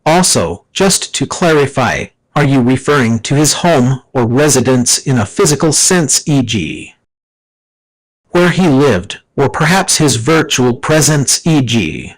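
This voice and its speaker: A man's voice: medium pitch, expressive, unhurried, rough recording. Formal synthetic-style man explaining. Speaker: AI assistant. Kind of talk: scripted explanatory reading.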